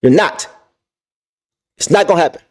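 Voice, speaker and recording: A young man with a voice going hoarse, hosting a livestream and venting about basketball, repeating his points with emphasis and talking to the chat. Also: fairly high pitch, normal speed. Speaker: sports fan channel host. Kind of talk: livestream sports rant.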